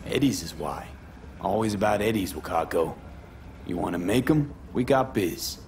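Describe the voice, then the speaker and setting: A man's voice: low-pitched, lively intonation, normal speed, a little mellow, a little hiss or hum. A man playing a cocky, streetwise young mercenary in a video game, with a clipped, blunt, wry delivery. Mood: businesslike. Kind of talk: dramatic game dialogue.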